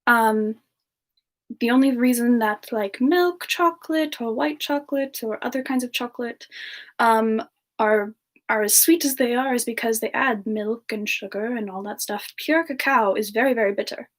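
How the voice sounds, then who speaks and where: A woman playing a sweet, chatty young female demon, talking gently and hesitantly in a casual semi-ASMR live chat. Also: a little high, natural voice. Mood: gentle, friendly. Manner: gentle, hesitant, pausing often mid-sentence. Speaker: host of a live Q&A stream.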